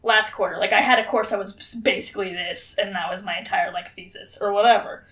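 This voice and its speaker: A young adult woman co-hosting a podcast in casual comedic banter, excitable, giggly and sweary. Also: mid-range voice, fast talker, far from the mic. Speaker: podcast co-host.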